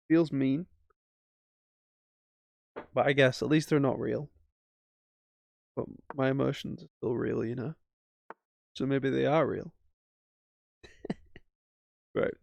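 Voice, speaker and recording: A man's voice: mid-range voice, gravelly, mellow, no room sound. A man casually commentating his own chess games as he plays, thinking aloud with self-mocking asides. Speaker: chess streamer.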